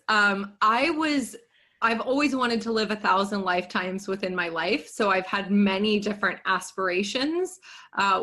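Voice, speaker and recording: A woman's voice: medium pitch, a bit bright. Chatty, candid woman podcast guest. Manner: candid, chatty, self-deprecating, laughs often. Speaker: yoga business coach and guest. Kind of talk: podcast interview.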